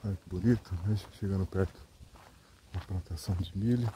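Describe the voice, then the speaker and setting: A man's voice: sits low, steady tone, brisk, dark tone. A man narrating casually as he walks and vlogs outdoors, pointing things out along a rural trail. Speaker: vlogger on a walk. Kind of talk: casual walking vlog narration. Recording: noisy outdoor recording.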